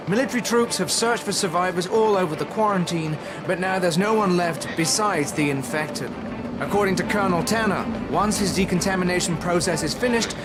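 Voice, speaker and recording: Guy doing solemn tv reporter in a game, high-ish male voice, music under it. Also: close to an everyday voice. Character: solemn male field news correspondent. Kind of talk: scripted news report in a video game.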